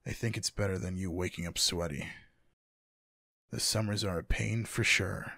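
A man playing a tender, teasing, sleepy lover in an intimate boyfriend ASMR roleplay, speaking softly and affectionately in a husky, gravelly voice. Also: low voice, fairly even intonation, dry recording, studio quality, exaggerated voice. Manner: soft, affectionate, unhurried. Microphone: close microphone, dry.

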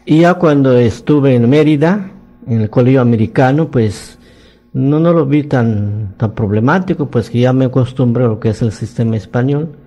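An elderly man from Yucatán, Maya his first language, talks in Spanish with a Yucatec accent. His voice is mellow and dark, and he tells his story slowly, without hurry. He is recorded in a radio studio with faint music behind him. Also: mid-range voice. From Yucatán, Mexico. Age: 90s. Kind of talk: recounting life story in interview.

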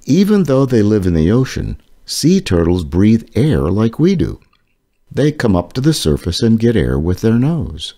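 Slow, very deep-voiced man reading aloud. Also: very animated, warm tone. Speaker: author reading aloud his children's book. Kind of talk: scripted read-aloud of an educational children's book.

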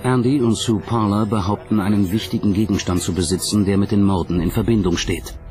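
A man narrates a documentary in a low-pitched voice. He reads from a script, and music plays clearly behind him. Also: fairly even intonation, brisk, a little mellow.

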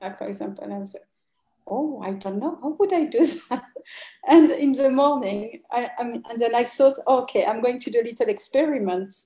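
A woman sharing personal reflections casually and haltingly on a group video call. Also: fairly high pitch, warm tone. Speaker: participant in an online group conversation. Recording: roomy, echoey video call.

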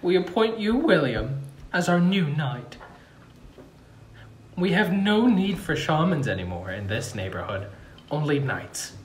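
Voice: medium pitch, sing-song, measured pace, long pauses, roomy sound, slight background noise. An amateur reader playing a melodramatic, earnest king in a comedy script table read, declaiming theatrically in a room at home. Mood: solemn, proud. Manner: theatrical, swooping declamation broken by amusement. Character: melodramatic young king turned cheerful, earnest ruler.

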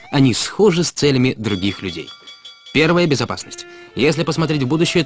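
A male narrator delivers a scripted explainer with lively, varied intonation at a medium pitch. His delivery is measured and instructive, with deliberate pauses, and faint music plays underneath. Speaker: narrator of an educational cartoon about personal finance. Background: background music.

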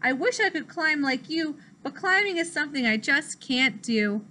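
Earnest young platypus newcomer for a picture book, voiced by a female reader: high, bright, simple delivery. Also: lively intonation, moderate pace, slight background noise. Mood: wistful.

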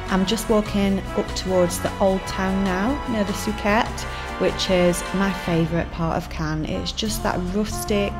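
A woman, narrating a fashion vlog in a relaxed, chatty way with little fillers. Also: medium pitch. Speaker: fashion vlogger. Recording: voice over background music.

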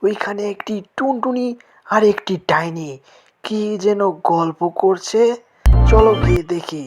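A man's voice: high voice, unhurried, music in the background. A man voicing a helpful, concerned male cartoon friend with a reassuring manner. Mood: curious, indignant. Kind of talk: cartoon dialogue.

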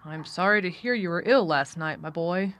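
A woman's voice: on the low side, moderate pace, a bit bright, highs cut off, lightly acted. A woman voicing a nervous, irritable male country doctor in a storybook, with a grave, formal manner.

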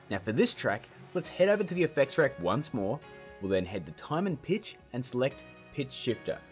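Animated man narrating a tutorial over music. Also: medium pitch. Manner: chatty, informal asides. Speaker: tutorial host. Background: background music.

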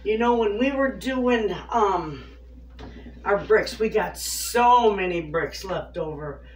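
A woman's voice: mid-range voice, lively intonation, slow. A woman in her fifties chatting to viewers in a homey way while cooking in her home kitchen. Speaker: homestead cooking vlogger. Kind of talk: casual cooking chat to camera. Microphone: far camera on a tripod. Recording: echoey kitchen from a distance, faint noise.

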